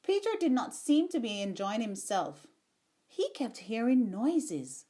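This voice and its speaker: Female storybook narrator, higher-pitched and animated, taking her time with each phrase for young listeners. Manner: expressive, unhurried storytelling. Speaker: children's story reader. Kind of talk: read-aloud storybook narration.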